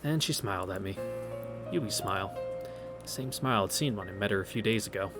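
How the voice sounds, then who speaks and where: A man's voice: fairly low pitch, lively intonation, fairly quick. A man hosting a visual novel read-through, reading narration aloud with wry, casual asides over game music.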